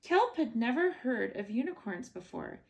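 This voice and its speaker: A woman's voice: a little high, big pitch swings, normal speed, gravelly. A woman reading a children's picture book aloud as a bedtime story, expressively and with short asides. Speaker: storybook reader.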